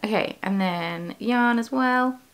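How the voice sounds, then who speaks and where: A woman's voice: on the high side, slow, smooth. Woman, young adult, rambling vlog chatter with ums, living room, close camera. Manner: chatty, rambling, many fillers and self-corrections. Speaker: yarn shop owner and vlogger. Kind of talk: casual studio vlog. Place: living room at home, indoors. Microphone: camera on desk at close range.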